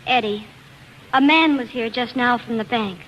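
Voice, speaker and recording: Woman acting a high-voiced, heartfelt young bride-to-be. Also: moderate pace, natural voice. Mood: hurt, accusing. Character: heartfelt young woman singer, bride-to-be, quick to feel betrayed. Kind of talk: 1940s radio acting. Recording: muffled, hissy 1940s radio broadcast.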